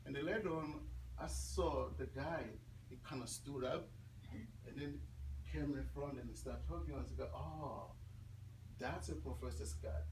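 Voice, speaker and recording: A man's voice: medium pitch, a little slow. Congolese man speaking in a roomy space. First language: not English. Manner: conversational, repeats phrases for emphasis. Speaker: guest speaker. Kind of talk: informal talk to students. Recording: roomy, distant mic, clear background noise.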